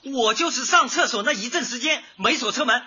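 A man's voice: very high voice, animated, brisk, sharp tone, husky, full character voice. A male actor playing an agitated, insistent young man in a comic radio drama, wheedling and accusing. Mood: pleading. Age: young adult. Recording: dull lo-fi audio.

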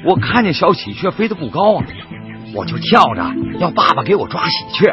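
Muffled radio drama audio with music behind carries a kindly Northeastern Chinese man who works a ferry boat. He speaks in a folksy, earnest way, with a wide, swinging pitch. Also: sing-song, a little fast. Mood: reflective, warm. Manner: folksy, earnest, plain-spoken. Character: kindly, principled boatman and bird protector. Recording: dull radio recording with loud music.

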